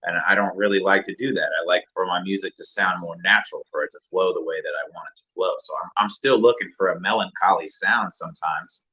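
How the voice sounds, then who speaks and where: A man, a music producer and rapper, talking casually and reflectively as an interview guest in a deeper mid-range voice. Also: quick pace, few pauses, poor audio. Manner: relaxed, reflective, many fillers.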